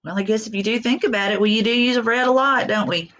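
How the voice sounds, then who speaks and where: Middle-aged woman, teacher's read-aloud chat, folksy, expressive, questioning. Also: a little high, quick pace. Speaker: elementary school teacher. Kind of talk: read-aloud for young children, chatty asides.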